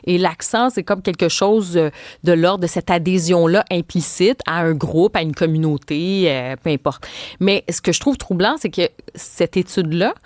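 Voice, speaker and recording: A Québécois woman with a fairly low voice, speaking as an expert guest. She explains at length and grows indignant, stressing her points. The sound is dry and close-miked. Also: normal speed, darkish tone, dry recording. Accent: Québécois French. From Quebec. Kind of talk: radio interview.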